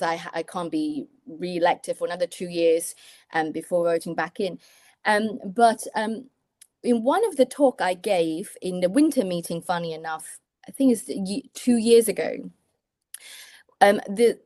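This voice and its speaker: A woman's voice: lowish voice, darkish tone, dry recording. A woman in her thirties from Taiwan, a native Mandarin speaker with a British English accent, talking as a guest in an interview with many restarts and fillers. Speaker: surgeon, guest. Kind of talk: interview conversation.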